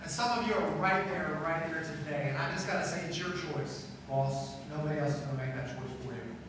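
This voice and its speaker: A man's voice: a little high, a little fast, distant mic, background noise. Middle-aged male pastor preaching in an echoey hall. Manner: conversational preaching, repeats phrases, rising emphasis. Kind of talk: chapel sermon to students. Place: school auditorium stage with seated audience. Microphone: far camera in hall.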